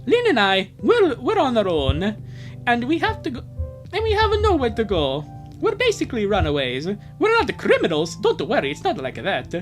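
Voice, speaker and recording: A woman's voice: a little high, wildly swooping pitch, normal speed, faint music behind, voice pushed up. A woman voicing a cheerful young boy runaway who earnestly pleads for help. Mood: pleading.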